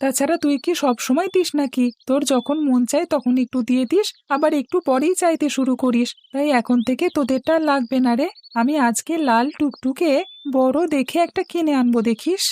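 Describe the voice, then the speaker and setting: A woman's voice: high-pitched, brisk, warm tone, lightly acted. A woman voicing an eager, chatty, pouty young village boy in a cartoon story, childlike and argumentative. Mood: boastful. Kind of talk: Bengali cartoon story acting. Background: music.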